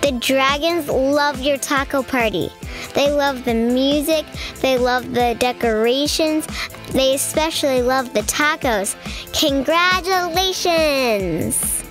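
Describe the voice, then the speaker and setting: A very high-pitched, youthful female voice reads a picture book aloud for children. She takes her time with each line and delivers it expressively. Music plays clearly behind her. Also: slow. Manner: expressive, addressing young listeners. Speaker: children's storytime reader.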